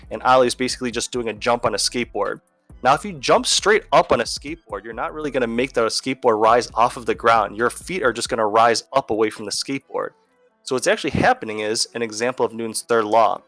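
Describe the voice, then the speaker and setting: A man narrates an educational video as a teacher. His voice sits at a middle pitch and is recorded close and dry, with faint music behind it. He explains things conversationally, one step at a time. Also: fairly bright tone, no echo. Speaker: physics teacher.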